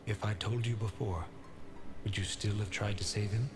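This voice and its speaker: A man voicing an ancient talking sword in a game, calm and measured like a sage. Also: fairly low pitch, over music, natural voice. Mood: solemn. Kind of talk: game cutscene dialogue.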